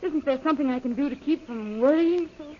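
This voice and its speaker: An actress playing an anxious, impatient young adventuress, fretful and pleading, in 1930s radio style. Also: female voice, high voice, brisk, natural voice. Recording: dull lo-fi old radio recording.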